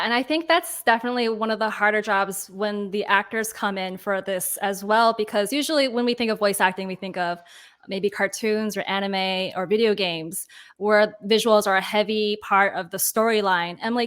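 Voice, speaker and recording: A woman's voice: medium pitch, fairly bright tone, clear, resonant tone. A woman moderating a casual panel over a video call, with a friendly, informal way of asking questions.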